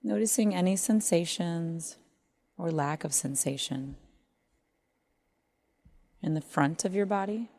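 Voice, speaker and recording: A woman leading a guided body scan meditation in measured phrases with long pauses. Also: on the low side, warm tone. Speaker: meditation teacher.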